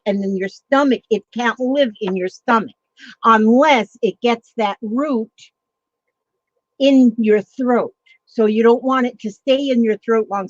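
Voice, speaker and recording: A woman's voice: on the high side, no room sound. A woman hosting a casual livestream chat, rambling along with frequent ums and greeting viewers by name. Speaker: livestream host. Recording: dull lo-fi sound.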